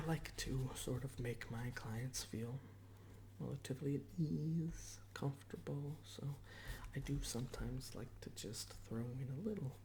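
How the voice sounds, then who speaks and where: Whispering man, hesitant and chatty. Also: medium pitch. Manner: chatty, hesitant, pausing between short phrases. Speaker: ASMR roleplay performer playing a portrait artist. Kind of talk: whispered ASMR roleplay.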